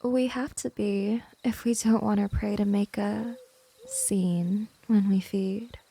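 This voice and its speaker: A woman voicing a gentle, teasing, seductive vampire hypnotist in a soft, breathy ASMR roleplay, coaxing and soothing. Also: mid-range voice, big pitch swings, takes it slowly, heavily acted. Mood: calm, inviting. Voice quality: soft, breathy, often whispering.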